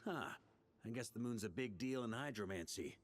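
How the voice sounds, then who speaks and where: Man playing lively, low-voiced, offhand teasing guy. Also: normal speed, faint music behind, natural voice. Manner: offhand, hedging, casual. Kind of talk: video game character acting.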